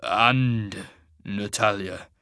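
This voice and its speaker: A man's voice: mid-range voice, normal speed, a bit bright, no room sound, studio quality, close to an everyday voice. A man voicing a weary, conflicted older warrior father, plain-spoken and growling, in a fantasy audiobook. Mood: reluctant. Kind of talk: dramatic fantasy audiobook character.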